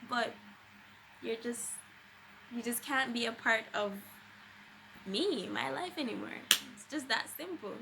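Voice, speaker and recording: A woman's voice: a little high, animated, takes it slowly, vocal fry, pauses often, background noise. A young adult woman with a Jamaican accent, chatting casually and expressively as a vlogger telling a personal story, with emphatic conversational delivery.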